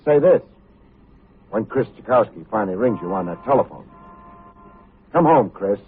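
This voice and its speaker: An actor playing a tough, wry but good-hearted private detective in old-time radio style, with a hardboiled, wisecracking manner. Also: male voice, on the low side, lively intonation, moderate pace, slight background noise, lightly acted. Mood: urgent, assured. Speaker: lead actor and narrator. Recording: muffled old radio recording, music behind.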